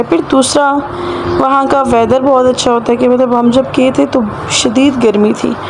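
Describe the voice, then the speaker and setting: Hoarse Pakistani woman vlogger, chatty voice-over. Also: a little high, noisy background. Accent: Pakistani Urdu. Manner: chatty, repeats phrases for emphasis. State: hoarse sore throat. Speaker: travel vlogger. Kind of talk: voice-over narration of a road trip.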